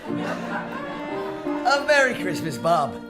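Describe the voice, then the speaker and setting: An actor playing an elderly reformed miser in a radio drama, excitable and theatrical, given to exclaiming. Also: male voice, high-pitched, extremely slow, heavily acted. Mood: jubilant generous. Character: elderly reformed miser, giddy, booming, generous. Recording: music and crowd sound behind.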